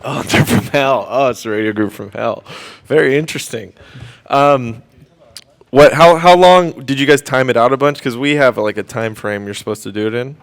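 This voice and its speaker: A man's voice: mid-range voice, moderate pace. A young man hosting student radio in casual, laid-back, rambling chat with some hesitation. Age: young adult. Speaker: student radio host and interviewer. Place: radio studio. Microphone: studio microphone.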